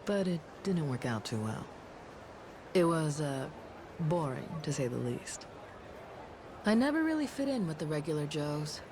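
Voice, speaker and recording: Confident, tough young bodyguard. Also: female voice, deep voice, sing-song, unhurried, long pauses, noisy background, heavily acted. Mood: self-assured. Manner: confident, casual, slangy. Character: tough, self-assured young woman, secretary and bodyguard to a queen. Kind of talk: video game cutscene acting.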